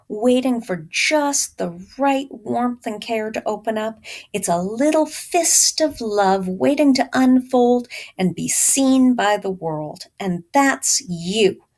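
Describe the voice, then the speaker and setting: A woman's voice: fairly high pitch, lively intonation, slow, darkish tone. A woman reading aloud as a firm, loving mother who lectures her young son insistently in a storybook. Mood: tender, insistent. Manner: emphatic, insistent.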